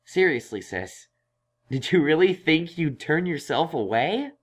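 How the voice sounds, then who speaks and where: Gentle, awkward young stallion scholar played by a man. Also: fairly high pitch, big pitch swings. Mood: gentle, reassuring. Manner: halting, reassuring, self-conscious. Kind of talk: audio drama fan fiction reading.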